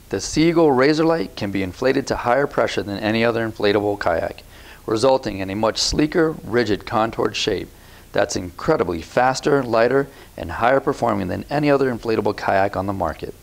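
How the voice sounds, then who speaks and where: Gravelly man giving calm instructions. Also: lowish voice, a little fast. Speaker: product demonstrator. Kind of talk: scripted instructional voice-over.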